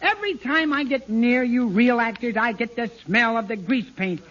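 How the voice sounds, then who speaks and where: A man playing an excitable, goofy young husband who dreams of acting fame, babbling eagerly in a 1940s radio comedy. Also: very high voice, normal speed. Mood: boastful, eager. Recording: muffled vintage radio recording with faint noise.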